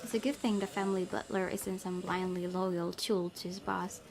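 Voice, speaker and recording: A woman's voice: lowish voice, fairly even intonation, moderate pace, very noisy. A woman game streamer reading a visual novel aloud with casual commentary. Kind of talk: let's play narration with casual commentary. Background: faint music.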